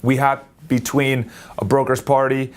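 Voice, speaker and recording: A young man in his late twenties talks straight into his phone camera in a loose, unscripted vlog. He is energetic and rambling, and he often stops and restarts his sentences. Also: medium pitch, measured pace. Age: 20s. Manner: energetic, rambling, self-correcting. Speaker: vlogger. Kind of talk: casual talk to camera.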